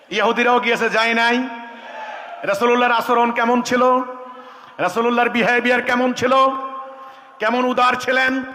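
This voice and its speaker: High-pitched Bangladeshi man orating in echoing reverb. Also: pushed and bright. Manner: emphatic, rhetorical, repeats phrases for effect. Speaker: religious movement leader addressing a conference. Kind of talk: impassioned public speech at a rally. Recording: echoing PA sound with long reverb.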